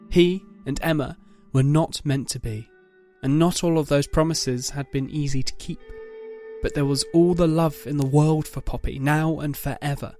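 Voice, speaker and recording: A man's voice: a little high, a little mellow. A man reading dramatic scripted narration as the narrator of an audio story.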